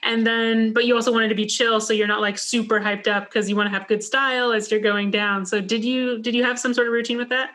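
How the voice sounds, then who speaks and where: A woman's voice: on the high side, level tone, quick pace, few pauses, fairly bright tone. A woman hosting a podcast, chatting casually with plenty of "like" and relating her own experiences. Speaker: podcast host. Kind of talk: casual interview chat.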